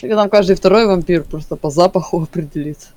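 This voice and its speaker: A man's voice: sits high, a little fast, a little mellow, no echo. A man chatting casually with other players over an online voice chat after a game, musing aloud and chuckling now and then.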